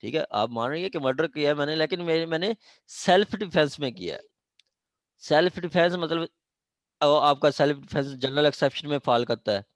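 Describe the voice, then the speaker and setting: A man's voice: a little high, expressive, a little fast, dry recording. A man with a Pakistani accent teaching law informally, hesitant and repetitive, mixing in English terms and often checking that students follow. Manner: mixes Urdu with English legal terms. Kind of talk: informal classroom lecture with questions to students.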